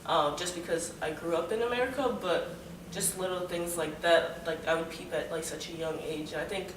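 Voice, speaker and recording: Male panelist, hesitant and thoughtful, higher voice, recorded far off in a roomy space. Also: fairly even intonation, brisk. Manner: reflective, hesitant, lots of fillers. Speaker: student panelist. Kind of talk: casual group discussion. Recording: echoey room, mic far off, background noise.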